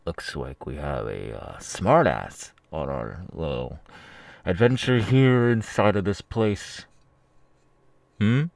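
Man doing a very deep, gravelly, taunting kidnapper. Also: expressive, darkish tone, no echo, full character voice. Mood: smug, threatening. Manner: drawling, halting, sneering. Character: menacing, taunting male kidnapper and ex-convict, sadistic and mocking. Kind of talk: ASMR audio roleplay.

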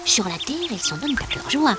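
A woman voicing a kindly guiding female spirit in a children's cartoon, gently explaining things. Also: on the high side, sing-song, fast, over loud music. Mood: gentle.

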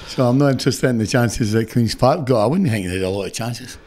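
A man's voice: mid-range voice, fast, darkish tone. A Scottish man in his sixties, a football manager giving a frank, annoyed post-match interview into a close stand mic in an indoor press room. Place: indoor press room before a sponsor backdrop. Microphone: stand mic close in front.

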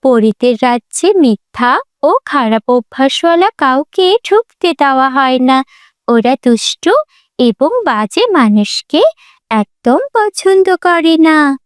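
Casting: adult female as righteous, admonishing cartoon kid, high voice, slow delivery, dry close recording. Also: expressive, no room sound. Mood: reproachful. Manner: admonishing, preachy. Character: well-behaved, scolding child friend. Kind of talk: children's cartoon voice acting.

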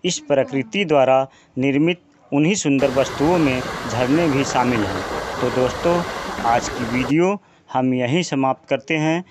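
A man narrating a countdown video as a voice-over, in slow, measured scripted narration. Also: medium pitch, background noise. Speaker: channel narrator.

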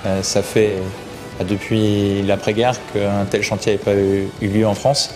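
Low-voiced man, measured and reflective. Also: little pitch movement. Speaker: client project manager. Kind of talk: documentary interview. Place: site office indoors. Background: background music.

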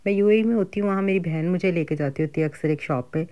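A woman's voice: fairly low pitch, fast, muffled recording. A middle-aged woman with a Pakistani Urdu accent, chatting casually through a grocery and cooking vlog. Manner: chatty, conversational, frequent fillers and asides. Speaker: home cooking vlogger.

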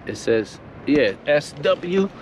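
A man's voice: a little high, big pitch swings, slow pace. A middle-aged man chatting casually and informally, like a street-smart vlogger. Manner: chummy, informal street talk. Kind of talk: casual chat. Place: inside a moving minivan. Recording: loud car and road noise.

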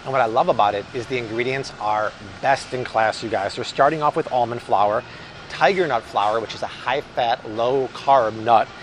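Male vlog host, thirties, American, enthusiastic casual reviewer, recorded in a supermarket with clear background noise. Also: mid-range voice, fairly bright tone. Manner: upbeat, enthusiastic, chatty. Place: large supermarket aisle, indoors. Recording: clear store ambience and hum.

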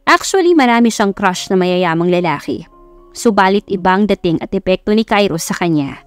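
A woman's voice: mid-range voice, lively intonation, normal speed, no room sound, faint music behind. A woman with a Filipino accent reading a scripted romance story as an audiobook narrator.

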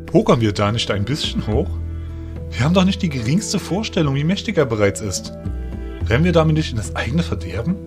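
A man playing a doubtful, sarcastic young fantasy hero, incredulous and animated, in an audio drama. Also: mid-range voice, unhurried, few pauses. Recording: music behind the voice.

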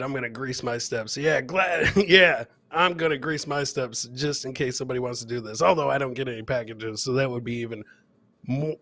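Bright-voiced middle-aged man hosting comedy. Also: medium pitch, no echo. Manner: jokey, repeats his phrases. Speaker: comedy show host. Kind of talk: casual live commentary on viral clips, chatting with viewers. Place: indoor home studio, webcam livestream.

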